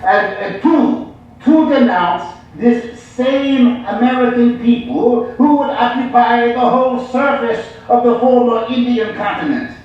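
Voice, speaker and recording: A man's voice: extremely high pitch, a little slow. A man playing an eccentric, agitated polemicist poet, speaking in emphatic declamation in a theatrical mock interview. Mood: denouncing. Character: eccentric male polemicist poet denouncing society, agitated and bizarre. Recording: dull, distant, echoey stage recording.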